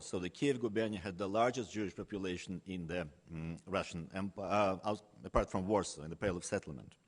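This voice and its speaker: A man lecturing as a professor at a conference, partly reading from notes, with frequent hesitations. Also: fairly low pitch.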